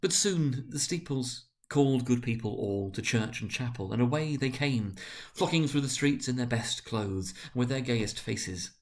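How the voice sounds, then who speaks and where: A man reading classic prose aloud as a storyteller, in an expressive, deliberate, dramatic narrating manner. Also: medium pitch. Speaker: narrator, reader.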